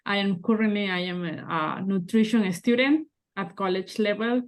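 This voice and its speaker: A young woman with an Ecuadorian Spanish accent, a Spanish speaker, introducing herself on a video call with halting restarts. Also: medium pitch. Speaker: student and committee member.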